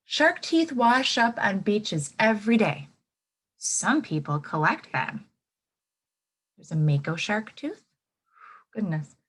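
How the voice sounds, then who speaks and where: A woman teacher reading aloud to young children in an expressive way, pausing to add asides and prompt them with questions. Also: mid-range voice, breathy edge. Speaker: elementary school teacher.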